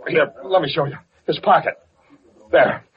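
Man acting a frantic high-voiced gangster squealer. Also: moderate pace, lightly acted. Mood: vengeful, insistent. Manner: frantic, stammering, slangy tough talk. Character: panicky male racketeer turned vengeful squealer. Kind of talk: 1940s radio acting. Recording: muffled old radio recording, crowd behind.

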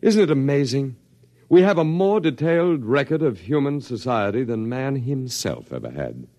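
An actor in a classic radio drama playing a smooth, zealous scientist who speaks in a measured, polite, condescending way. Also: male voice, medium pitch, very animated, darkish tone, no room sound. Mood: rapt, zealous. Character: smooth, courteous, zealous male scientist running an eternal archive.

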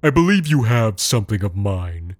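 A man voicing a huge, menacing businessman giant who talks in a booming, sarcastic way. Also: big pitch swings, no room sound, studio quality. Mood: indignant. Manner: slow, theatrical, swings loud then soft. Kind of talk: storytelling narration.

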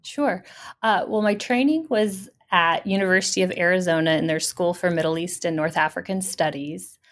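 A woman's voice: lowish voice, normal speed. A woman in her thirties, a professor answering interview questions thoughtfully, with many fillers.

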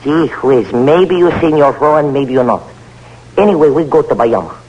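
An actor playing an excitable, wary Cuban scout in an old radio drama, with a Cuban Spanish accent and exclamatory broken English. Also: male voice, on the high side, normal speed, husky, lo-fi sound. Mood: wary, curious. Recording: noisy, humming vintage radio recording.

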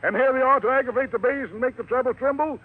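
A man's voice: extremely high pitch, fast talker, breathy edge. An American man hosting a 1940s radio show in showy, wordy jive patter full of rhymes and slang, on a muffled old broadcast recording.

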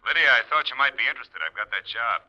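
An actor playing a suave, cynical playboy husband in an old-time radio drama, glib and dryly sarcastic. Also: male voice, mid-range voice, fast talker, pushed and bright. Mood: needling. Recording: dull, lo-fi old radio recording.

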